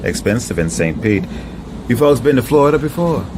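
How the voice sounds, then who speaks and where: A breezy male railway clerk, played by an actor in a radio drama. He chats in short folksy phrases with a very animated rise and fall. Clear train noise surrounds the voice. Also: medium pitch, fairly quick, lightly acted. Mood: breezy, nosy. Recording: noisy radio drama, railway din behind.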